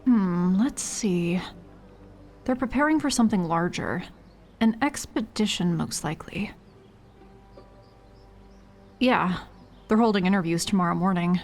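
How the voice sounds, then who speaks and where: A woman playing a bored, offhand guild clerk in a fantasy audio drama, talking casually and slowly. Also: on the low side, darkish tone. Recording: music behind the voice. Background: faint music.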